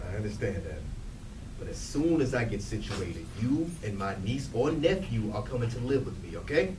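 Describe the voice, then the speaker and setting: An actor in a staged play reading, playing an earnest, frustrated younger brother with a pleading, emphatic delivery. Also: male voice, fairly low pitch, very animated, normal speed. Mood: tender. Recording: roomy, distant mic, faint background noise.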